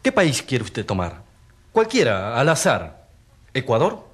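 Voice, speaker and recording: A man playing an earnest country priest on trial in a radio drama, husky, testifying in a didactic way with rhetorical questions. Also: medium pitch, wildly swooping pitch, takes it slowly, heavily acted. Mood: earnest, indignant.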